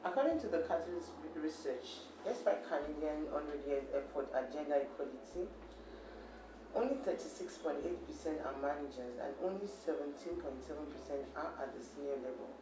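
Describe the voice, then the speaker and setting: A man's voice: fairly high pitch, a little fast. Distant man in an echoey, noisy room. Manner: earnest, reads out figures before asking. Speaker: interviewer. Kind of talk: interview questions.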